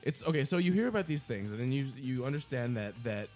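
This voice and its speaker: A man's voice: medium pitch, lively intonation, fast, a little mellow, faint music behind. A man co-hosting a podcast in casual chat, swearing freely and mimicking the people he argues with. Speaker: podcast co-host.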